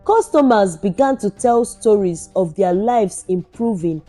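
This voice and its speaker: A woman's voice: medium pitch, expressive, a little slow, dark tone, soft background music. A woman storyteller reading a scripted folktale in a dramatic narrative style.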